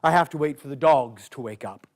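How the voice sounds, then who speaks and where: A storyteller doing a slow-drawling riverboat captain. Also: close to an everyday voice. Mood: easygoing. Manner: drawling, lowered, unhurried. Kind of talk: live storytelling.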